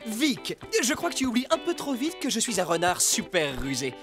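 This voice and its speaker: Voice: medium pitch, moderate pace, natural voice. An actor voicing a crafty, boastful fox who leads a gang in a cartoon. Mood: boastful, reassuring. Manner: boastful, animated. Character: cocky, crafty fox, the scheming leader. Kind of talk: French-dubbed cartoon acting. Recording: loud cartoon music behind.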